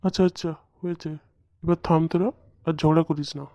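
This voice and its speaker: A man's voice: on the high side, fairly even intonation, brisk, warm tone, dry recording, clean studio sound, natural voice. A man voicing an easygoing big-brother figure among idle friends in a comic cartoon, casual and peacemaking. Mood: relaxed. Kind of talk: comic Bengali cartoon acting.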